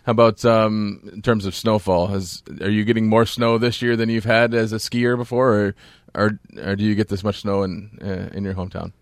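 A man's voice: lowish voice, flat delivery, normal speed, hardly any pauses, no echo, clean studio sound. A man hosting a casual sports interview, asking questions in a rambling, hesitant way. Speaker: host interviewer.

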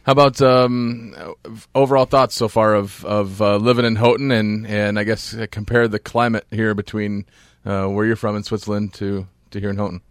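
Low-voiced man hosting a casual interview. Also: level tone, normal speed, few pauses, no echo, studio quality. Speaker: host interviewer.

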